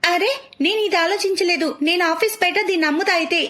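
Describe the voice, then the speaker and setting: A woman's voice: extremely high pitch, fast talker, pushed and bright, voice pushed up. A woman voicing a caring, eager young wife and daughter-in-law in a cartoon story. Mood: upbeat.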